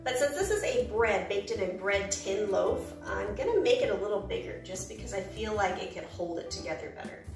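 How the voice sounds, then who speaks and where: A woman's voice: medium pitch, brisk, creaky, hardly any pauses, roomy sound, distant mic, music in the background. An American woman hosting a cooking vlog from her home kitchen, chatty and upbeat, with rambling asides. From United States. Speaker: cooking channel host.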